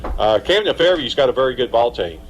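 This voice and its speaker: A man calls the game for a live radio broadcast, keeping an easy, upbeat flow as he runs through scores. His voice sits at an average pitch. A faint hiss runs under the broadcast. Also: big pitch swings, a little fast, muffled recording. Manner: upbeat, conversational, asks long setup questions. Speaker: play-by-play announcer. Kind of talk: live sports radio commentary.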